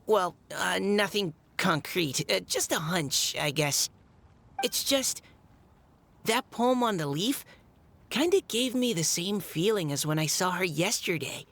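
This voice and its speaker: Gentle, thoughtful, animated young woman. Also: lowish voice, measured pace, full character voice. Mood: hesitant, pensive. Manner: polite, tentative, earnest. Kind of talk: video game cutscene acting.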